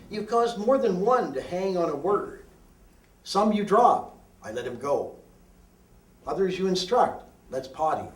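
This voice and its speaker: Echoey, distant male poet reading aloud. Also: a little high. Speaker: poet reading own work. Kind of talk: live poetry reading, declamatory. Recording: roomy echo, distant microphone.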